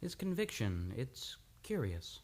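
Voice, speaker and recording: A man playing a youthful, charming but edgy chief doctor, courteous and expressive, in an old-time radio play. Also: medium pitch, takes it slowly, exaggerated voice. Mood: thoughtful.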